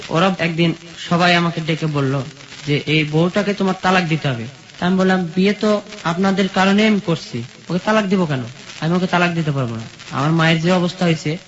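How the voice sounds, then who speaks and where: A young man from rural Bangladesh telling his life story as a guest, in plain colloquial speech, quoting conversations as he goes. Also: fairly high pitch. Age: young adult. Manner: plain colloquial village speech, recounting step by step. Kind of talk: personal storytelling in an interview, quoting dialogue. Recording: dull sound with steady sizzling noise.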